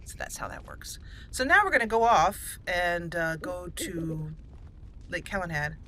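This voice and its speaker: A woman's voice: lowish voice, very animated, measured pace, fairly bright tone. A woman hosting a gaming channel, giving casual, chatty gameplay commentary and explaining as she goes.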